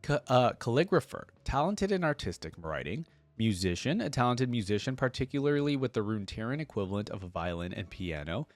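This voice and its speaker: Male reaction host, mid-pitched voice, reads aloud with casual, curious commentary, recorded very dry. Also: very animated, a little fast, dry recording. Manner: casual, curious asides between read passages. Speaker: host of a game lore reaction series.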